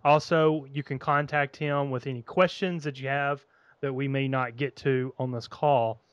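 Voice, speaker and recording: A man's voice: medium pitch, steady tone, moderate pace, smooth, no echo, highs cut off. A man hosting a phone interview, upbeat and peppered with filler words. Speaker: podcast host.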